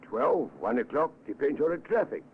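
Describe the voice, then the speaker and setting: An actor playing a cooperative petrol station keeper in an old radio drama, plainly and hesitantly recalling things. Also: male voice, medium pitch, big pitch swings, normal speed, slight background noise, lightly acted. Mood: helpful, uneasy. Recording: muffled narrow vintage radio recording.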